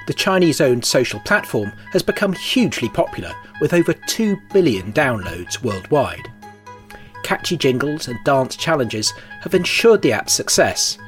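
A man's voice: medium pitch, a bit bright. A man hosting a current affairs podcast, reading scripted introductions and putting questions to a guest. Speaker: podcast host. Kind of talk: scripted presenting and interviewing.

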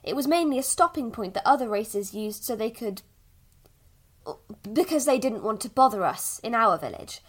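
A woman playing a patient village mother in an audio drama, softly coaxing and a little evasive about the past. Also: a little high, lively intonation, normal speed. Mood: gentle, explaining.

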